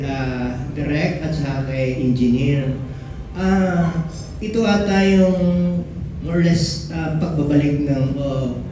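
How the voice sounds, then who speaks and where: A man's voice: medium pitch, warm tone, far from the mic. A Filipino man asking questions as a reporter at a press conference, hesitantly searching for words. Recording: very echoey room, off-mic, faint noise.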